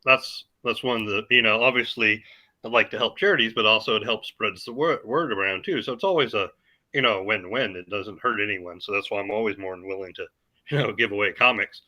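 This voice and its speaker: A man with a very bright, sharp tone answers questions as a podcast guest over a video call. He keeps it easygoing and self-deprecating, dropping in plenty of "um"s and "uh"s, and his voice sits on the low side. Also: fairly quick. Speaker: podcast guest, comic creator. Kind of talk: casual interview answers.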